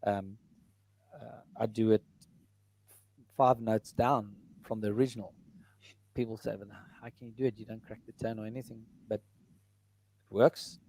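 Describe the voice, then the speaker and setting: A middle-aged man with a baritone voice, talking casually about his music in an interview, rambling with many fillers and restarts. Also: steady tone, normal speed, long pauses, a little mellow, dry recording, rough recording. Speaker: musician and entertainer.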